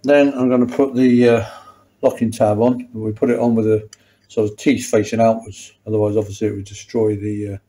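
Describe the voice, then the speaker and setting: A man's voice: lowish voice, flat delivery, fairly quick, warm tone, rough recording. A middle-aged or older man, talking casually and wryly as he demonstrates mechanical work in a small workshop. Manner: chatty, self-deprecating, wry asides. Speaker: motorcycle mechanic and host. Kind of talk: casual hands-on workshop tutorial. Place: small indoor workshop at a workbench.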